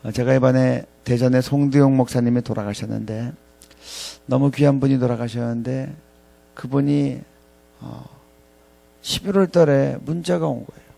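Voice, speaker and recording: Gravelly, mellow middle-aged male preacher. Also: mid-range voice, fairly even intonation. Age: 50s. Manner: halting, with frequent pauses and repeated phrases. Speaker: professor preaching at a ministry staff chapel. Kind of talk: reflective sermon with scripture readings.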